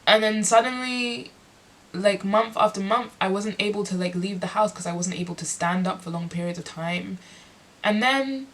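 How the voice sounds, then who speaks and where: A young woman speaking candidly in a personal vlog, congested and sniffly, reflective and filling pauses with lots of "like". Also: medium pitch. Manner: reflective, heavy use of "like" fillers. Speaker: musician and performer.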